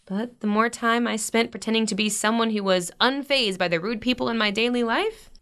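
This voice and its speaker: A gentle, earnest middle-aged mother. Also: on the high side, a little fast, clean tone. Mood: earnest, reassuring. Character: warm, gently teasing middle-aged mother of teenage daughters. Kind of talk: audio drama acting, naturalistic dialogue.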